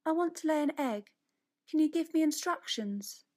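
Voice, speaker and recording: A small, shy female rabbit from a storybook acted by a woman narrator. She speaks high above a normal talking voice, hopeful and excitable. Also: moderate pace. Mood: earnest.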